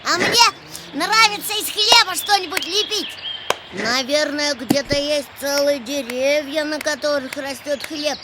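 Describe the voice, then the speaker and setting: A woman's voice: high-pitched, unhurried, very noisy. A woman voicing a playful, naive little boy kitten in a children's cartoon, childlike and eager. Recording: loud music behind the voice.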